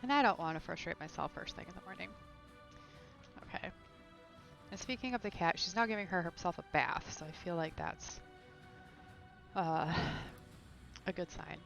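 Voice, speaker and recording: A woman's voice: on the low side, normal speed, gravelly, long pauses, fairly bright tone, faint music behind, low-quality recording. A woman chatting casually and thinking aloud while streaming a video game from her room at home. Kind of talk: casual live gameplay chat.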